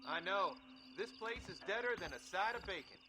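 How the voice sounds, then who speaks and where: An actor voicing a surly frontier deputy with an American accent in a western video game. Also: male voice, high voice, lively intonation, fairly quick. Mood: bored. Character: bored, belligerent frontier deputy man. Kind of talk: video game character acting. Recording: loud outdoor noise, horse hooves.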